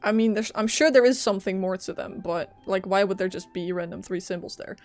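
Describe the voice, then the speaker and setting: A woman's voice: medium pitch, brisk, darkish tone. A woman streaming a game, reacting casually in a playful, candid, chatty way. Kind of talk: casual gameplay reaction and reading along.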